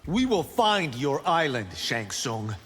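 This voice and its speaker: A man's voice: fairly high pitch, sing-song, takes it slowly, fairly bright tone, in a large hall, a little hiss or hum, exaggerated voice. A man playing a cocky, wisecracking young monk fighter in a video game, confident and bantering. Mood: defiant. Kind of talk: video game character acting.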